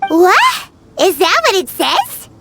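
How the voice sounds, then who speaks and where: A woman's voice: extremely high pitch, wildly swooping pitch, slow pace, over music, heavily acted. A woman voicing a tiny, childlike, curious fairy companion with a cutesy manner in a video game cutscene. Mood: curious, anxious. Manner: cutesy, refers to itself in third person.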